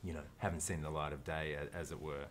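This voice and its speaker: Australian male actor, interview guest, deep voice, casual and thoughtful, restarts a lot. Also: little pitch movement, fast talker, fairly bright tone, slight background noise. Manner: thoughtful, rambling, with frequent restarts.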